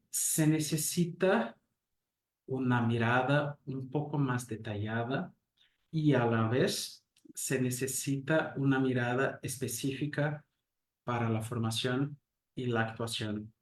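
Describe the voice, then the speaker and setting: Roomy-sounding male academic lecturer. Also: medium pitch. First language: Portuguese. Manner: thoughtful, hesitant with frequent fillers, rhetorical questions. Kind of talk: academic talk. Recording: roomy echo.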